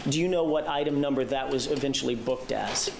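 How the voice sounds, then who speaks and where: A man questioning a witness in a courtroom, measured and methodical, with frequent fillers. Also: mid-range voice, vocal fry. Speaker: prosecutor. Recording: echoey courtroom audio with background hum.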